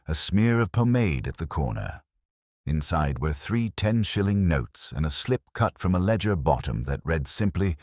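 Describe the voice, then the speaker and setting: A man's voice: low-pitched, darkish tone, no room sound, clean studio sound. A man reading a scripted literary mystery as its narrator.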